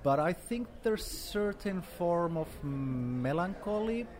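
A man's voice: sits high, animated, slow pace, a little mellow, a little hiss or hum. A man in his forties, from Finland and raised in Sweden, speaking casually with many fillers as an interview guest. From Finland, grew up in Sweden. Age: 40s. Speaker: guest artist.

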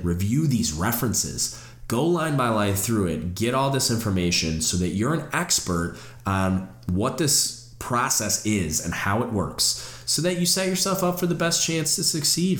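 A man's voice: on the low side, echoey room. A man hosting a podcast, sounding nasal and stuffed up from a cold, earnest and encouraging as he talks. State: stuffed up and congested. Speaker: podcast host. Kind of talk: solo mentoring podcast talk.

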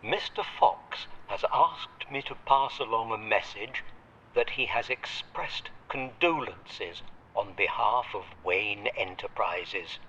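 A man's voice: lowish voice, pushed and bright, lo-fi sound, slight background noise. An actor playing a devoted, fatherly old butler in an audio drama, formally polite and sentimental, heard through a radio filter. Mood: formal, solemn. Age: older. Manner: formal, measured, deferential yet tender.

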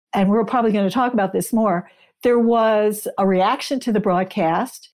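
A woman with a mid-range voice talks as an interviewed author. She explains things conversationally, measured in places and stressing key words. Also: medium pitch, darkish tone. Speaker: author, podcast guest.

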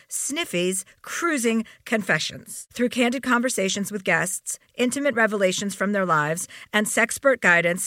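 A woman reading a sponsor advertisement in a bright, upbeat manner. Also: on the high side, no room sound, studio quality. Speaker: ad read by host. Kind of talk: read advertisement.

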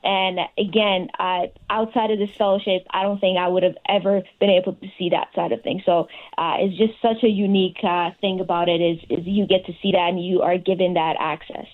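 A woman's voice: lowish voice, steady tone, fairly quick, hardly any pauses, darkish tone, low-quality recording. Earnest woman answering as a guest. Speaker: interview guest, recent fellowship recipient. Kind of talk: conversational interview answers.

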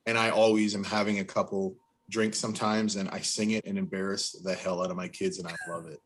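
A man's voice: sits low, little pitch movement, a little fast, low-quality recording. Casual, jokey man on a podcast. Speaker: podcast guest. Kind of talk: casual chat.

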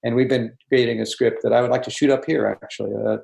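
A man, a guest director on a video call, talking casually, halting and repeating words with many fillers. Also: medium pitch, little pitch movement, gravelly, a little mellow, roomy sound.

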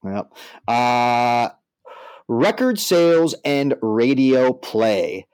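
A man with a medium-pitched voice speaks as a podcast co-host. His delivery is informal and conversational, recorded dry with little room sound. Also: big pitch swings, drawn-out words, darkish tone, smooth, no echo. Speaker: podcast co-host, music artist manager. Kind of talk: podcast discussion with some read-out article passages.

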